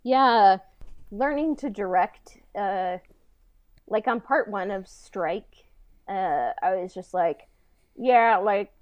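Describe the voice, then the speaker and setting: A woman co-hosting a podcast, chatting casually in a halting, filler-heavy way on a slightly dull microphone. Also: medium pitch, no echo. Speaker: podcast co-host and audio drama director. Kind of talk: casual behind-the-scenes chat.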